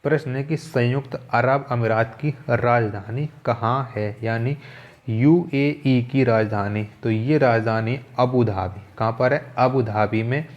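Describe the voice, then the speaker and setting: A man's voice: medium pitch, measured pace, highs cut off. A man teaching an exam quiz lesson, methodically reading out questions and repeating key answers.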